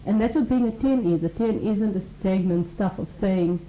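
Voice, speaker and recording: A woman's voice: medium pitch, moderate pace, mellow, echoey room, slight background noise, low-quality recording. A woman who teaches mindfulness and talks earnestly on a radio show, explaining ideas through everyday examples and acted-out quotes. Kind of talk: conversational radio talk.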